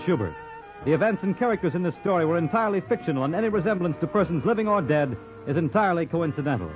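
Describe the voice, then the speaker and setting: A man reading scripted credits as a 1950s radio announcer, in a formal, polished manner. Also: on the high side. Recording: muffled vintage radio broadcast with music.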